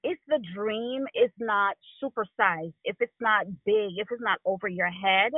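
A woman from Jamaica with a Jamaican accent, telling stories as a guest in a casual interview, with quick laughs. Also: medium pitch, no room sound. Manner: animated storyteller, quick laughs. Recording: tinny phone line.

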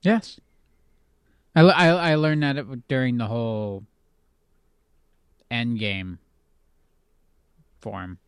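A middle-aged man co-hosting a casual podcast chat, reminiscing with halting starts. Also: medium pitch, expressive, clear, resonant tone, no room sound, studio quality. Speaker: podcast co-host.

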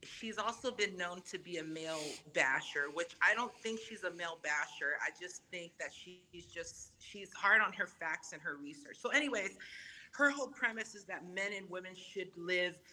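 A woman raised in Samoa, Samoan her first language, chatting as a podcast guest in a lively, emphatic way. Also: lowish voice, poor audio. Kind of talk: casual podcast chat.

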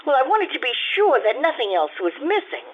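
A woman voicing a high, flustered society wife in an old-time radio play, stammering and pleading as she hides her secrets. The sound is thin and phone-like, as if from a tinny line. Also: big pitch swings, a little fast. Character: anxious wealthy wife hiding gambling debts.

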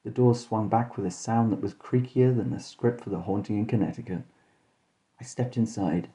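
A man hosting and narrating a scripted comic horror story in a deadpan, mock-dramatic way. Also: fairly low pitch, normal speed. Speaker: podcast host and storyteller.

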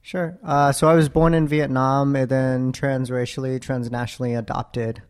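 A man in his twenties from Florida, answering interview questions in a thoughtful, hesitant way with many pauses and ums. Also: mid-range voice, little pitch movement. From Florida, USA. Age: 20s. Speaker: interview guest. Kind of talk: casual interview.

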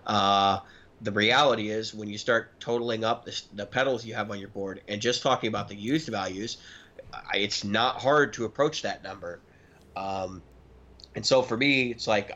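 A man's voice: lowish voice, a bit bright, dull audio. A man in his early thirties chatting casually and emphatically as a talkative podcast co-host. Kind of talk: casual chat about gear and recording.

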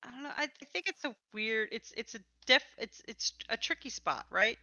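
Bright-voiced woman interviewer, dry sound. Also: on the high side, expressive, normal speed, no echo, dull audio. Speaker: podcast host and interviewer. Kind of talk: conversational interview.

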